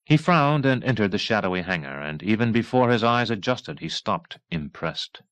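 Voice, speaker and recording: A man's voice: lowish voice, animated, moderate pace, fairly bright tone, no echo, poor audio. A man reading a novel aloud as a scripted audiobook narration. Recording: 1980s cassette audiobook.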